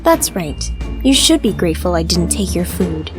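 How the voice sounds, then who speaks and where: A woman's voice: lowish voice, sing-song, moderate pace, over music. A woman voicing a proud, independent, bitter female cat in a visual novel, curt and haughty. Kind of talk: character acting in a visual novel.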